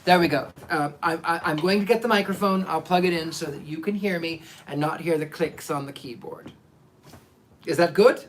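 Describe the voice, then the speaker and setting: A man's voice: fairly high pitch, normal speed, a little hiss or hum. A man hosting a livestream as a storyteller, moving between dramatic live reading and casual asides to listeners.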